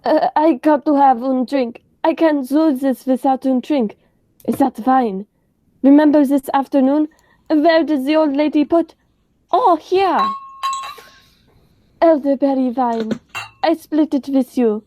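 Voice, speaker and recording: A woman's voice: high-pitched, unhurried, long pauses, dark tone. A young woman playing a nervous, squeamish doctor sidekick in a radio play. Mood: pleading, nervous.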